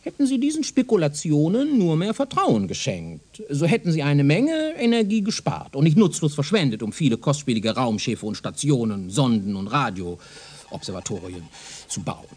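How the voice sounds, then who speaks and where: A self-assured professor from the future in a radio play. His husky voice swings dramatically up and down as he lectures. The manner is pedantic and condescending, full of rhetorical emphasis. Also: fairly high pitch, very animated, moderate pace, darkish tone. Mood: patronizing, reproving. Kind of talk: German radio drama acting, lecturing dialogue.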